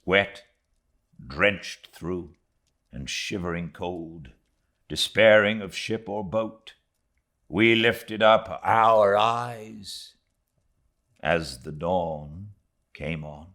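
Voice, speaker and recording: A British man giving a dramatic reading of a literary audiobook, theatrical in delivery, moving between swelling intensity and hushed tones. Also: low voice, very slow, pauses often. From Britain. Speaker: audiobook narrator.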